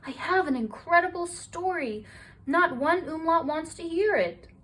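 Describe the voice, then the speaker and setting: A woman voicing an eager, boastful young male storyteller in a children's storybook, excited and earnest. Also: extremely high pitch, a little slow, voice pushed up, exaggerated voice.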